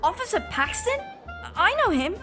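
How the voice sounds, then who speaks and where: Kid sleuth for audio drama: very high pitch, cheeky, bold, gossip-loving. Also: female voice, very animated, normal speed, pushed and bright, full character voice. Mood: cheeky, curious. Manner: brash, saucy, direct. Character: cheeky, bold young girl sleuth who loves gossip. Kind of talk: scripted audio drama acting. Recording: music behind the voice.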